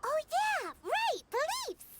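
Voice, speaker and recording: A woman voicing a tiny, chirpy, childlike fairy companion in an anime video game, chatty and squeaky. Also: huge pitch swings, slow, very noisy, exaggerated voice. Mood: perky, inquisitive. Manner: squeaky, chatty, speaks in third person.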